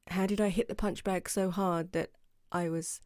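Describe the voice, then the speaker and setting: A woman's voice: on the low side, steady tone, moderate pace, darkish tone, no echo, studio quality, natural voice. A woman playing a playful, affectionate girlfriend in an intimate ASMR roleplay, with a teasing manner. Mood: teasing, modest. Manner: teasing, giggly, sometimes dropping to a whisper. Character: playful affectionate new girlfriend, proud martial arts instructor. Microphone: close microphone in a dry room.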